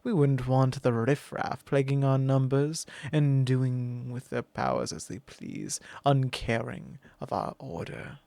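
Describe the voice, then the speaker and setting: Man playing an arrogant immortal vampire, condescending drawl, gravelly, unhurried, dry close sound, ASMR roleplay. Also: mid-range voice, dark tone, no room sound. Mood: mocking. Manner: condescending, drawling, sometimes whispering.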